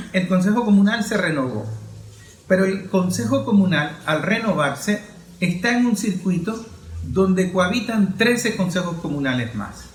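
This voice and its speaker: A man's voice: high voice, animated, unhurried. A man giving didactic, emphatic political commentary, addressing the listener directly. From Venezuela. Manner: didactic, emphatic, rhetorical questions. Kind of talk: explanatory political commentary to camera. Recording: roomy echo, faint background noise.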